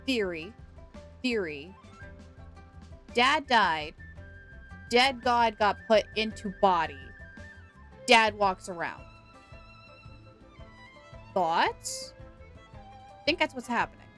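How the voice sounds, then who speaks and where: A woman streaming a game, chatting animatedly and thinking out loud. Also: medium pitch, lively intonation, takes it slowly, long pauses, faint music behind. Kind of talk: casual live-stream commentary.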